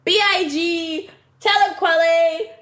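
High-voiced excitable woman podcast host. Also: takes it slowly, heavy background noise. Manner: chatty, excitable, swears casually. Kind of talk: casual podcast chat.

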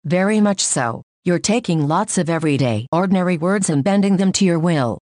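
A prim, condescending voice assistant, played by a woman, delivers sarcastic answers in flat, deadpan lectures. The voice sits a little low. It is recorded close and completely dry. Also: fairly quick, no echo, lightly acted. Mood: deadpan snarky. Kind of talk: scripted comic bit.

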